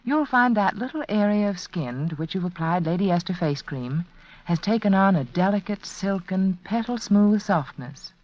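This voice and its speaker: A woman hostess reading a scripted 1940s radio commercial in a measured, earnest, instructive way. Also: mid-range voice, lively intonation, a little mellow. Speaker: sponsor's hostess. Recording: dull, lo-fi vintage radio broadcast.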